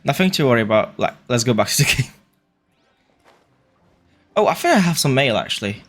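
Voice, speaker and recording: Male game streamer, average pitch. Offhand, thinking-aloud chat over faint game music. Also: sing-song, a little fast, a bit bright. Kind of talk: casual livestream chat while playing.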